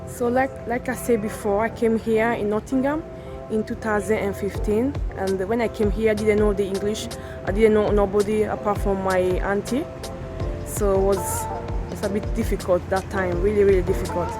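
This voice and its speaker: A woman vlogger chatting casually while walking outdoors through a city center. Her English is non-native and halting, with frequent restarts. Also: mid-range voice, little pitch movement. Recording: music playing under the voice. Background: background music.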